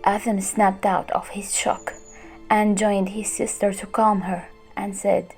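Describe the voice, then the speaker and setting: A woman narrating a fantasy story in an earnest, soft-spoken way, as the channel's creator. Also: mid-range voice, level tone, a little slow, vocal fry, faint music behind.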